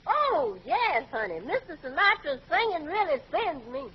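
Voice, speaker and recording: A woman playing a droll, unflappable aunt in a 1940s radio comedy, speaking deliberately with mock-learned words. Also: high-pitched, very animated. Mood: pleased. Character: droll, unflappable aunt with high-flown talk. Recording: muffled old radio recording, noisy studio audience.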